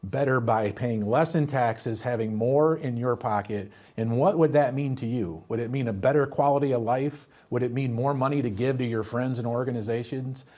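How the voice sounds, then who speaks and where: A man's voice: medium pitch, normal speed, few pauses, darkish tone. A man, a financial advisor, explaining retirement tax planning steadily and walking through number examples.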